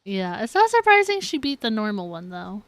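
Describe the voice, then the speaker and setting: A woman's voice: mid-range voice, big pitch swings, moderate pace, no echo. A woman game streamer giving casual, giggly commentary on a livestream.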